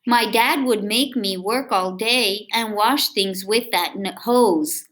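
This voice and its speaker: A woman doing an expressive picture-book read-aloud for children, drawing out words and adding chatty questions to her young listeners. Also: mid-range voice, takes it slowly.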